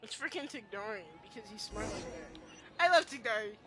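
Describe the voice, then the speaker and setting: A woman's voice: medium pitch, lively intonation, fairly quick. Slangy woman streamer over game music. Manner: slangy, rambling, fannish. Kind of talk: casual playthrough commentary.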